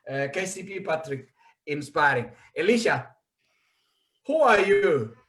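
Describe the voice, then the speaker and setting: A man hosting a livestream book launch, reading out viewer comments in an animated, chatty way. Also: on the high side, measured pace. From Kenya. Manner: animated, reads out comments, laughs easily. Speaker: host. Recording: echoey room.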